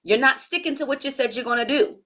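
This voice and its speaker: Woman in her forties, kinda high voice, chatting to her livestream viewers, relaxed and slangy. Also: quick pace. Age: 40s. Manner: chatty, talks straight to viewers in chat. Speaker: health coach. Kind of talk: live-streamed coaching talk.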